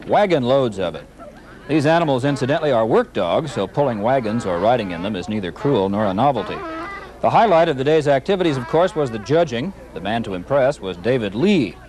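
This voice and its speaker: A man's voice: mid-range voice, darkish tone. A man with an American accent anchoring a local newscast, reading scripted stories in a wry manner. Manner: wry, wordplay-laden. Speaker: TV news anchor. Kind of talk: scripted local news narration.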